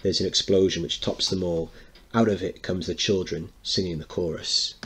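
A man's voice: low voice, fairly even intonation, normal speed, fairly bright tone, a little hiss or hum. A man teaching through narration and commentary on a play, putting rhetorical questions to his listeners. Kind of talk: dramatic reading with explanatory commentary.

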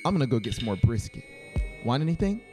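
A man voicing a blunt, resolute male cousin in an audiobook, explaining things in a flat, matter-of-fact way. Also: medium pitch, quick pace, over music, pitched above the performer's own voice, close to an everyday voice. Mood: flat, casual.

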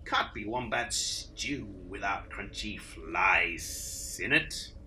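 A man's voice: on the low side, animated, slow pace, bright, forceful tone, pitched below the performer's own voice, exaggerated voice. A man voicing an old, scornful, hissing lizard in a children's storybook reading. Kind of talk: children's storybook read aloud.

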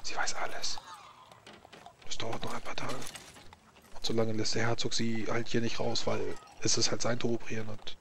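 A man's voice: mid-range voice, monotone, a little slow, creaky, background noise. A man playing a flustered, officious scribe in a tabletop game, talking hesitantly and repeating himself. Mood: confiding, rambling. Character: flustered, officious male chancellery scribe, secretive and chatty.

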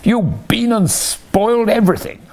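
A man's voice: medium pitch, expressive, moderate pace, a little mellow, a little hiss or hum, natural voice. A man reading a storybook aloud and voicing a vain, jealous male toad with a lofty, whiny manner. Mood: exasperated.

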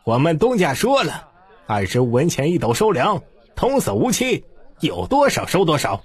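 A man voicing an excitable, self-pitying middle-aged male grain shop clerk in an audiobook, wailing and exclaiming. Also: on the high side, normal speed, reverberant, big-room sound, voice pushed up. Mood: loud announcing.

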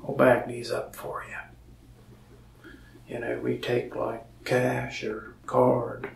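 A man playing a gravelly, soft-spoken mask shop salesman. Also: medium pitch, monotone, roomy sound, recorded from a distance, a little hiss or hum, heavily acted. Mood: soft, friendly. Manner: slow, soft-spoken, gravelly with frequent whispered stretches. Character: lonely, friendly male mask shop salesman. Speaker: ASMR creator. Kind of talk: soft-spoken ASMR roleplay. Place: small indoor room, mask wall behind.

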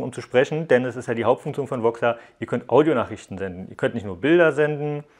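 A man presents a hands-on tech review in a casual, explanatory way. His voice sits at an average pitch for a man. He speaks straight to the viewer, guiding them through features as a reviewer would. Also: darkish tone. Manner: explanatory, addressing viewers directly. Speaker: app reviewer, host.

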